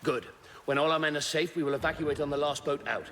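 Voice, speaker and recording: A calm, firm commander. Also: male voice, on the high side. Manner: measured, authoritative. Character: calm male Roman commander. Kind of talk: video game cutscene acting. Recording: noisy reverberant game ambience.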